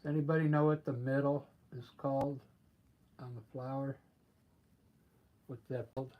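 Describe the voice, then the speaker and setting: A man with a slightly scratchy voice, softly talking through a painting he is working on during a live stream from his studio. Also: medium pitch, flat delivery, normal speed, muffled recording. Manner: soft-spoken, thinking aloud while painting. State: scratchy voice from singing and allergies. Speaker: artist livestreaming painting. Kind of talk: casual live painting commentary. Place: home art studio. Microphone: clip-on mic after plugging it in.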